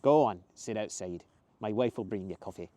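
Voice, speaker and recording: A man's voice: on the low side, moderate pace, dark tone, no room sound, lightly acted. A man voicing a hearty, proud Scottish café cook with a Scottish accent in a storybook reading. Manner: hearty, boastful.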